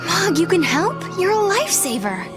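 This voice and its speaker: A woman playing an earnest, haunted young adventurer in a video game cutscene, with a breathy dramatic delivery. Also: very high voice, big pitch swings, normal speed. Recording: game audio under loud music.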